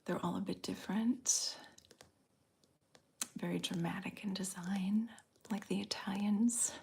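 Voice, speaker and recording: A woman from the Southern US with a slight Southern accent, softly whispering in an unhurried, drawn-out way as she chats with viewers in an ASMR stream. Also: medium pitch, fairly even intonation, creaky, a little hiss or hum. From Southern United States. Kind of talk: ASMR whispered live chat.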